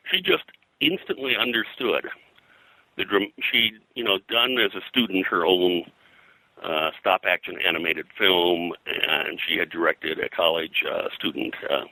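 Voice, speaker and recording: Older male guest, deep and very bright, close dry sound, casual halting raconteur. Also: no echo, poor audio. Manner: halting, digressive storytelling with frequent throat clearing. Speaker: interview guest, comics colorist and pop culture historian. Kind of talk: casual reminiscing interview.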